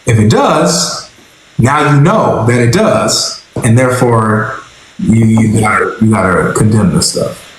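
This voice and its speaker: A man hosting a live religious debate stream, calm and persuasive, often repeating words as he thinks. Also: fairly low pitch, unhurried. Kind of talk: casual live debate chat. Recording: very echoey room.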